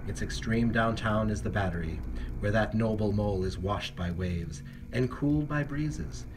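A man giving a theatrical reading of a classic novel, with halting phrases, hushed drops and swells. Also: lowish voice. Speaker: reader. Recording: noisy amateur home recording.

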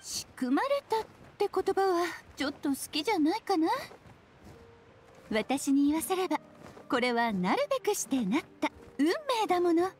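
A woman playing a playful, mysterious, self-assured witch in an anime video game, with a teasing, unhurried delivery. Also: sits high, sing-song, darkish tone, a little hiss or hum. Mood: coy teasing.

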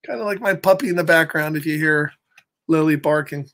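A man's voice: fairly high pitch, fairly even intonation, normal speed, a bit bright, a little hiss or hum. An American man in his fifties reading a picture book to children expressively, with playful, chatty asides to his young viewers. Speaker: storyteller for children. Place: small home room, indoors.

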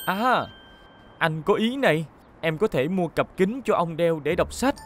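Young heroic cartoon police car: kind, confident, helpful, reassuring delivery. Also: male voice, fairly high pitch, lively intonation, unhurried, fairly bright tone, slight background noise. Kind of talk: animated children's cartoon dub.

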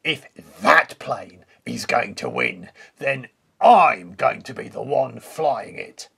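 A storyteller voicing a bullying, boastful wolf who shouts his taunts. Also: medium pitch, expressive, slow pace, gravelly, slightly rough, full character voice. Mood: scheming. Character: boastful bullying big bad wolf pilot.

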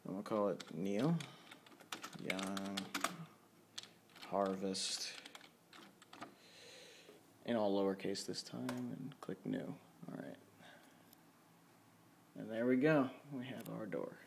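A man's voice: fairly low pitch, slow, vocal fry, long pauses, a little hiss or hum. A man giving an informal, off-the-cuff walkthrough of his home studio setup, talking casually and with some self-deprecation. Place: dim room at a home computer setup. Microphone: handheld camera or phone mic. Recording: music playing in the background.

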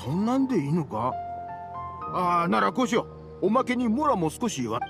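An actor playing a rough-spoken, hearty and grateful merchant with a gravelly voice in a Japanese anime game. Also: male voice, high-pitched, very animated, moderate pace, a bit bright, soft background music, heavily acted. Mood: grateful, surprised.

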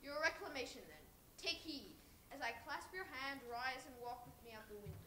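High, bright, commanding ghost played by a woman. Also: animated, a little fast. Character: solemn, commanding ghost of Christmas past. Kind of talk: live staged reading of a Christmas play. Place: stage in a dim auditorium. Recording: echoey live stage, faint room noise.

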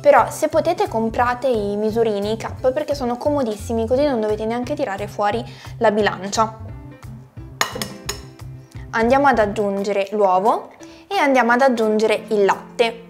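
A young woman presenting a recipe tutorial to camera from her home kitchen, chatty and explanatory, pausing often on fillers. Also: fairly high pitch, soft background music. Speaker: cooking channel host.

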